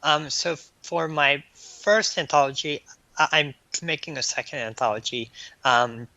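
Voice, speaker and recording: A man answering as an interview guest in a halting, stammering way, often repeating sounds and words. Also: fairly high pitch, pushed and bright, no room sound, highs cut off. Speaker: guest author and anthology editor.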